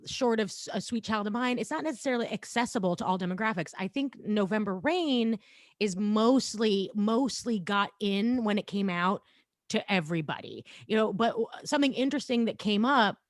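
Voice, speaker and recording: A woman's voice: mid-range voice, animated, normal speed, dry recording, studio quality. Chatty woman in her thirties. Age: 30s. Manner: chatty, storytelling with many likes and ums. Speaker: podcast co-host. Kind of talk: casual podcast chat.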